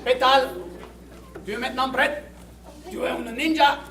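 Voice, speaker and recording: A man with a Quebec French accent playing an anime-style sensei in a live improv scene, theatrical and shouting battle cries. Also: very high-pitched, steady tone, normal speed, heavy background noise. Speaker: improv player. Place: improv match venue with audience. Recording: from far off, echoey stage with crowd.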